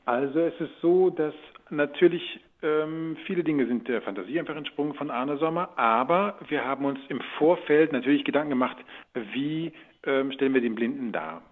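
A man's voice: a little high, normal speed. A man giving informal, rambling interview answers in a conversational way, as a guest. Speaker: radio drama producer, actor and interview guest. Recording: phone-like narrow band sound.

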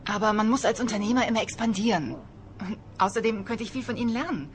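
An actress playing a curious, cheeky young journalism student in a crime radio play, blunt and teasing in manner. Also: female voice, fairly high pitch, fast talker, a little hiss or hum, natural voice. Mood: cheeky, eager. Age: young adult.

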